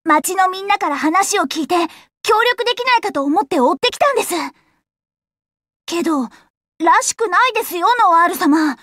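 A woman's voice: extremely high pitch, animated, normal speed, sharp tone, dry recording, clean studio sound, exaggerated voice. A woman voicing a plucky, earnest, friendly young fox-eared girl adventurer in a Japanese anime game, with boyish, frank, upbeat phrasing. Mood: earnest, helpful. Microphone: close, dry studio recording.

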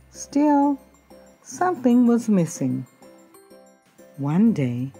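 A woman's voice: on the low side, dark tone. A woman reading a children's picture book aloud expressively, drawing out key words. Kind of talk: storybook read-aloud.

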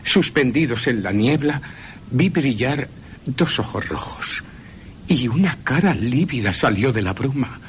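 Man voicing an anguished young woman, pitched up. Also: animated, measured pace, a little hiss or hum, close to an everyday voice. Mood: anguished, fearful. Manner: anguished, dramatic. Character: frightened young woman recounting her nightmare.

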